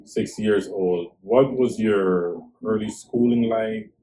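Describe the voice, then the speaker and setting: A man interviewing a guest, asking calm questions and murmuring soft agreement while she talks. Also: lowish voice, takes it slowly, crowd noise, poor audio.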